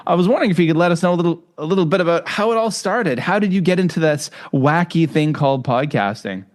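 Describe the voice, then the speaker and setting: A man's voice: fairly high pitch, lively intonation, fast talker. A man from Canada with a Canadian accent, hosting a podcast in a conversational interview style. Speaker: podcast host.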